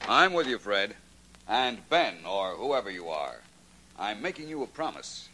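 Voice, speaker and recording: A man's voice: fairly low pitch, big pitch swings, measured pace, pushed and bright, heavily acted. A man playing an assured, teasing, suave private detective in a 1940s radio drama. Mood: calm, firm. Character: Philo Vance, unflappable suave male private detective. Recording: noisy old 1940s radio recording.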